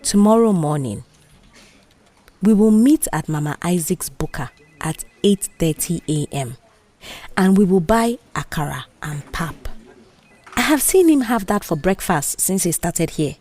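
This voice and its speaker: A woman voicing a bold, mischievous young office worker with a Nigerian accent, animated, dismissive and persuasive, in an audio drama. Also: lowish voice, unhurried. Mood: coaxing, scheming. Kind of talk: audio drama character dialogue.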